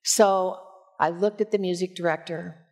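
A woman in her sixties preaching as a pastor, with measured, expressive storytelling, on a headset mic in a church. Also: lowish voice. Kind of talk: sermon with personal storytelling. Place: church sanctuary, at a pulpit.

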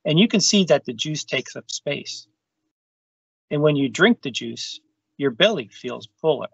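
A man's voice: a little high, expressive, a little slow, creaky, a bit bright, breathy edge, no echo. A man reading a children's science book aloud over a video call. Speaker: storytime reader. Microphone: video call microphone. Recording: dull, lo-fi video call audio.

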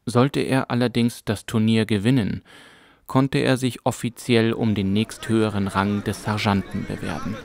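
A man narrating a fantasy audiobook in a calm, measured storytelling manner. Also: on the low side, creaky. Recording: music bed under narration.

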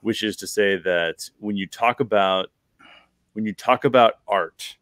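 A middle-aged man with a fairly low voice talks as a podcast host, analysing in a conversational, explanatory way. He repeats himself for emphasis, and the close microphone gives a dry sound. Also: unhurried, fairly bright tone, dry recording. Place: bedroom, video call.

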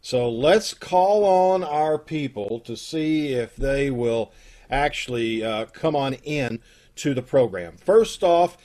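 A man's voice: medium pitch, lively intonation, slow, darkish tone. A middle-aged man hosting from home on a video call, announcing and chatting casually. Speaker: host introducing a live radio troupe show. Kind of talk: casual live announcing and chat.

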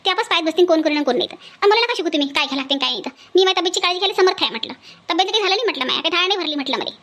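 A woman voicing a spiteful, sulking aunt in an amateur cartoon comedy, sharp-tongued and sarcastic, with a Varhadi Marathi accent. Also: extremely high pitch, animated, very fast, heavily acted. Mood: defiant, irritated. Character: spiteful, sulking aunt refusing a wedding duty.

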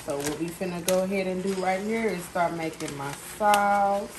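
A woman's voice: lowish voice, moderate pace. A woman casually talking viewers through her cooking in a home kitchen, filmed on a phone over the stove. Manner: relaxed, chatty, addresses viewers as y'all. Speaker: home cook vlogger. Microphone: phone camera filming over the stove. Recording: echoey kitchen with cooking noise. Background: sizzling pans and clattering pots.

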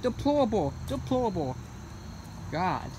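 A man walking around an empty building, narrating casually into his phone. He keeps repeating himself and turns loud when something surprises him. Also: high voice, very animated, measured pace. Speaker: urban explorer vlogger. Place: outdoors at an empty building and parking lot. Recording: loud outdoor background noise.

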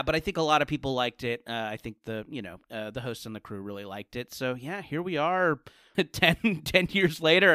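A man's voice: fairly high pitch, sharp tone, no room sound, studio quality. A chatty, enthusiastic man talking casually as a guest in a podcast interview. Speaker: podcast guest, comedy writer.

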